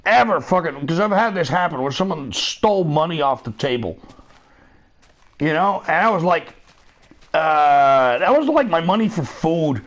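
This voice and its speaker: A man's voice: a little high, very animated, normal speed. A middle-aged man chatting casually while gaming, profane and rambling, laughing often. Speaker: streamer.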